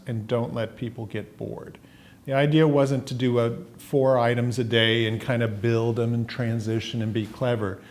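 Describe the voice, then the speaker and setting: A man's voice: medium pitch, a little mellow. An American man in his sixties telling stories conversationally in a documentary interview. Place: indoor room with staircase, seated. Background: music.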